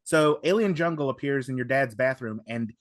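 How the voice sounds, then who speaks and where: A man guesting on a podcast, discussing things analytically in a wordy, halting way, often circling back to rephrase. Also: mid-range voice, no echo, clean studio sound.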